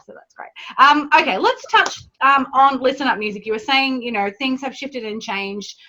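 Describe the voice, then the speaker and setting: Bright, chatty woman host. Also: sits high, lively intonation, normal speed, a little hiss or hum. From Australia. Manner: warm, chatty, enthusiastic. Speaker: summit host. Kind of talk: casual interview hosting.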